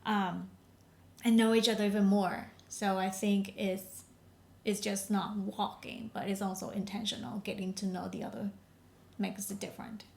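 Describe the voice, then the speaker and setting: A woman's voice: medium pitch, flat delivery, moderate pace, creaky. A woman from Vietnam, co-hosting a podcast with her husband in casual, phrasing. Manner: warm, non-native phrasing, frequent laughs. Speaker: podcast co-host. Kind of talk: casual chat.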